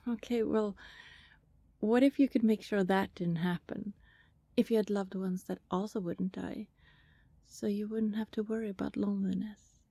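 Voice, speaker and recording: A woman playing a friendly, teasing vampire neighbour in an intimate ASMR roleplay, chatty and casual, with a soft breathy voice that often slips toward a whisper. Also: mid-range voice, no room sound. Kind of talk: ASMR roleplay, intimate one-sided conversation with listener.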